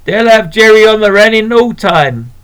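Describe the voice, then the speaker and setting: A man's voice: sits high, lively intonation, normal speed, fairly bright tone, smooth, voice pushed up. A homely, motherly shopkeeper woman, plump and always beaming, as a male storyteller puts her on. Her voice sits well above his own and she talks in a cosy, chatty way. It is a storybook reading. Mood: hearty.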